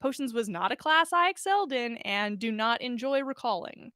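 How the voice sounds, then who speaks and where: A woman reading fan fiction aloud as a podcast host, in an expressive storytelling style. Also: on the high side, normal speed, no echo, clean studio sound.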